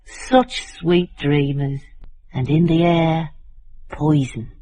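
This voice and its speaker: An actress playing a watchful, brooding narrator, delivering literary drama monologue in incantatory short phrases. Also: female voice, sits low, fairly even intonation, slow, mellow. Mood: bleak, wistful. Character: brooding, watchful female narrator of a bleak city.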